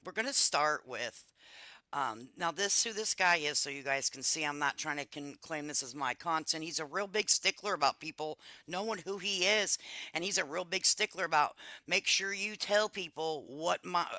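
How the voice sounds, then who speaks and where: Congested woman streamer, bright and dry. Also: on the low side, lively intonation, moderate pace, no echo. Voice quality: raspier than usual. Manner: chatty, addresses viewers as friends. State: congested with a cold. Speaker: livestream host. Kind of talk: casual reaction livestream chat.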